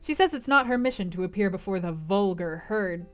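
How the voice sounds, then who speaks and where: A woman's voice: medium pitch, expressive, brisk, soft background music, lightly acted. A woman voicing a plain-spoken, deferential male footman who reports to his employers. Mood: reluctant.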